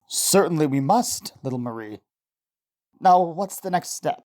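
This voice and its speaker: A woman acts out a devoted scientist husband in a vintage-style radio play. The voice sits low, with a fond, encouraging manner. He is proud of his wife and teases her gently. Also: animated, measured pace. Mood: encouraging, curious. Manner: tender, encouraging, gently teasing. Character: affectionate, proud scientist husband, adult man. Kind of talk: old-time radio drama acting.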